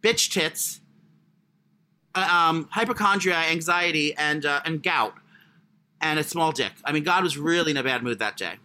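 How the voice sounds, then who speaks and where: A man with a high voice co-hosting a casual comedy podcast, crude and self-deprecating in his humour. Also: moderate pace, bright, forceful tone, a little hiss or hum. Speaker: podcast co-host. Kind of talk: casual comic chat.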